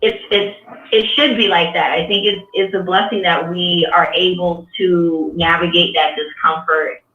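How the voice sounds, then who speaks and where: A woman's voice: fairly low pitch, steady tone, measured pace, a little hiss or hum. A woman speaks earnestly, working through her thoughts aloud with many restarts. Her voice carries a roomy echo and sounds muffled, set well back from the microphone. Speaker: artist. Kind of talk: casual panel discussion. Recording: dull, echoey sound from a distance.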